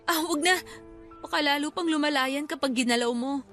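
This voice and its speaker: A woman's voice: sits high, fairly quick, fairly bright tone. A woman acting an irritable, sarcastic young woman in a Filipino radio drama, scolding and blunt. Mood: annoyed, sarcastic. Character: irritable, sarcastic injured young woman, restaurant head cook. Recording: music bed behind the voice.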